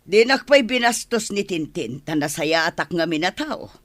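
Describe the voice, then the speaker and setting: A woman's voice: on the low side, expressive, normal speed, fairly bright tone, no echo. A woman with an Ilocano Filipino accent playing a blunt, quarrelsome village neighbour in a radio drama. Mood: blunt, dismissive.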